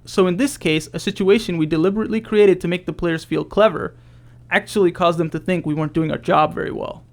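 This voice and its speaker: Higher-pitched man with a creaky voice. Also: a little fast. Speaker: game developer. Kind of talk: scripted developer commentary narration.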